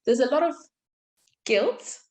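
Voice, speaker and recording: A woman's voice: sits high, lively intonation, slow. Chatty young woman from Zimbabwe. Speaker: podcast guest, junior doctor. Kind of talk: casual podcast conversation.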